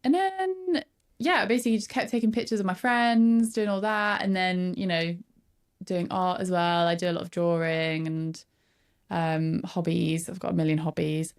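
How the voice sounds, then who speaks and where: A woman's voice: on the low side, moderate pace. A woman, chatting casually as a guest and laughing often. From northern England. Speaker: photographer guest. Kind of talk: casual podcast interview.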